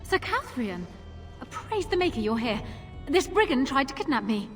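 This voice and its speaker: A calculating young queen in a fantasy game. Her voice is fairly high, formal and commanding, set over background music. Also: sing-song, moderate pace. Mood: feigned distress.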